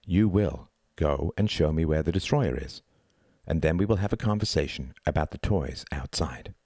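A man voicing a stern, grimly controlled adult man who talks in a firm, measured, authoritative way in a story. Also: deep voice, lively intonation, a little mellow, no room sound, clean studio sound. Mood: low, even.

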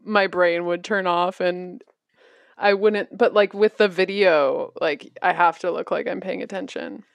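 A woman in her thirties with a lowish, gravelly, fry-tinged voice, chatting and laughing easily on a podcast. The sound is dry and close, with no room echo around her. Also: measured pace. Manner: laughs often, relaxed and chatty. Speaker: podcast co-host, musician. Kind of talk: casual chat.

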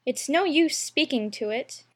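A woman's voice: high voice, animated, a little slow. A woman playing an earnest, polite young girl with a prim manner in a full-cast audiobook. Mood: pensive.

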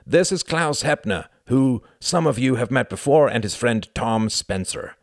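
A man's voice: low voice, measured pace, gravelly, breathy edge, dry recording, studio quality, exaggerated voice. A man voicing a devious, commanding German count in an audiobook, with a theatrical, falsely hearty manner. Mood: grand, false cheer.

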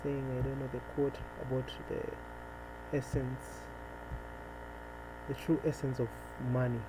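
Rambling Kenyan man, higher-pitched, humming noisy recording. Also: level tone, slow. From Nairobi, Kenya. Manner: rambling, hesitant, thinking aloud. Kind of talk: casual unscripted monologue chat. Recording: noisy with clear mains hum.

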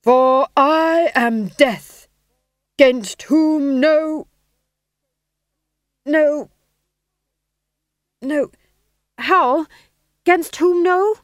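A woman's voice: high-pitched, dry recording, studio quality, voice pushed up. A woman doing a jittery young actor playing Death. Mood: pompous. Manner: halting, slow overdone declaiming. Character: nervous young male actor rehearsing Death.

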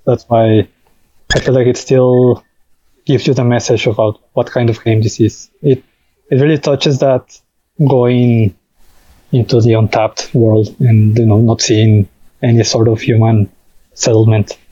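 A man's voice: medium pitch, flat delivery, a little slow, warm tone, husky, no echo, dull audio. A man who is a native Spanish speaker, explaining his work as a game developer in a casual interview, in piecemeal phrases.

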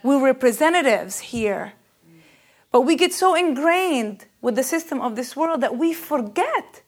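Earnest woman preacher, rather high voice. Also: animated, measured pace. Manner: intense and earnest, rising and louder on key questions; hesitant fillers, searching for words. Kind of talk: spoken sermon.

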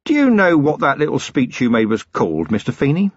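Man acting an older commander, sardonic, weary, gruff, husky, audiobook character. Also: mid-range voice, sing-song, fairly quick, dry recording, dull audio, voice pushed up. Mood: quiet, approving. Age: middle-aged.

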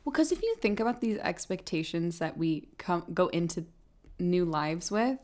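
A woman's voice: fairly low pitch, lively intonation, moderate pace, darkish tone. A young woman co-hosting a casual podcast, talking things through in a reflective way and explaining with everyday comparisons. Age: young adult. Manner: reflective, uses metaphors, backchannels "yeah". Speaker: podcast co-host. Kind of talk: casual chat.

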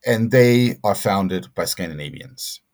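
A middle-aged man answering interview questions as a scholar, speaking haltingly with many fillers and restarts. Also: sits low, expressive, darkish tone. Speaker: guest scholar.

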